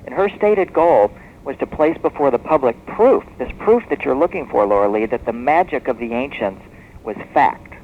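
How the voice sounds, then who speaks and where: A man speaking as an expert guest in a radio interview, explaining history as a story in a measured, discursive way. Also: medium pitch, expressive. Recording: muffled noisy shortwave radio tape.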